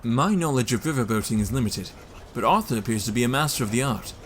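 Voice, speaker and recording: A man's voice: mid-range voice, expressive, fast talker, slight background noise, close to an everyday voice. A man playing an earnest devoted young husband. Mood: tense. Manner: earnest, tender, soft-spoken. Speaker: narrator of his own journal. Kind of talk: audio drama acting.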